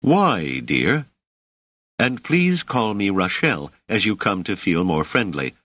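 A man's voice: on the low side, very animated, slow, fairly bright tone, dry recording, heavily acted. A man voicing a plump, merry, middle-aged motherly woman in an audiobook, gracious and politely chatty. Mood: pleasantly amused.

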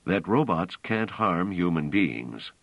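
Audiobook role: mild, uncertain scholarly man, voiced by a male reader, low register, unhurried, anxious edge. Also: expressive, dry recording, lo-fi sound, exaggerated voice. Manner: mild, hesitant. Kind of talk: audiobook character voice.

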